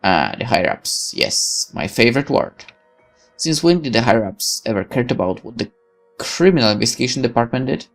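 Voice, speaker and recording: A man's voice: medium pitch, brisk, soft background music. A man reading a visual novel's text aloud as dramatic, sardonic first-person narration. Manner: expressive, sardonic. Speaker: let's player reading game text.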